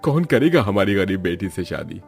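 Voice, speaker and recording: A man voicing a worried, practical middle-aged father in an animated story. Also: deep voice, normal speed, creaky, soft background music, exaggerated voice. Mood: resigned. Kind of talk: animated story character dialogue.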